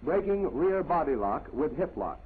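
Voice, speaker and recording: A man's voice: on the high side, sing-song, normal speed, narrow, tinny audio, a little hiss or hum. A man reading scripted military instruction as a 1940s training film narrator, in a clipped, commanding manner on an old film soundtrack.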